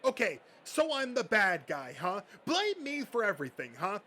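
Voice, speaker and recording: A man's voice: high-pitched, very animated, normal speed, sharp tone, exaggerated voice. A man voicing a foul-mouthed, bitter, whiny comic book nerd, agitated and melodramatic, in an amateur dub. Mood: defensive anger.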